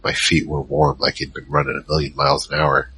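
A man as a very deep, grim gunfighter. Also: level tone, fairly quick, background noise. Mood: somber. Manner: grim, terse, world-weary. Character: battle-worn male gunfighter recounting his grim story. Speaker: narrator and lead character. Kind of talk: first-person noir-like audio drama narration. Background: music.

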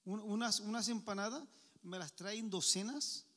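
A man's voice: sits high, moderate pace, sharp tone, long reverb tail. A Puerto Rican man preaching informally to a men's meeting, chatty and joking, often asking the crowd questions. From Puerto Rico.

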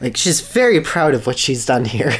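A man talks casually as a comedy podcast co-host. His pitch is average, and his delivery is conversational, wry and incredulous. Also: sing-song, moderate pace, slightly rough.

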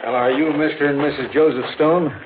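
An actor playing a matter-of-fact, folksy American state police officer with easygoing authority in a 1940s radio drama. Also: male voice, a little high, fairly even intonation, a little fast, lightly acted. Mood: official. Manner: plain-spoken, easygoing authority. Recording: tinny, noisy old radio recording.

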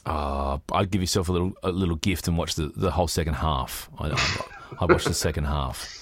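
A man's voice: rumbling low, a little fast, a bit bright. An Australian man co-hosting a podcast, chatting casually about films and sport. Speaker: podcast co-host.

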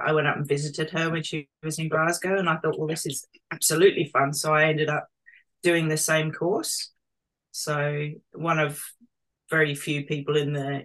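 A woman's voice: deep voice, fairly bright tone, rough recording. A woman in her fifties from London with a British accent, answering questions in a casual conversational interview. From London, England. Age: 50s. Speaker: interviewee, interpretive planner and tourism marketer.

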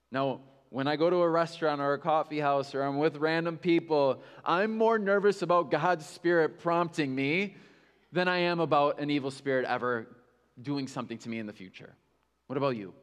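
A man's voice: medium pitch, clear, resonant tone, long reverb tail. A male pastor preaching a sermon in a candid, conversational way, with self-mocking asides.

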